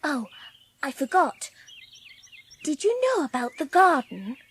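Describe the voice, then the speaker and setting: A woman's voice: high-pitched, big pitch swings, slow pace, heavily acted. A woman playing an earnest, lonely orphan girl in a dramatised audiobook, with childlike phrasing. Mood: anxious wonder.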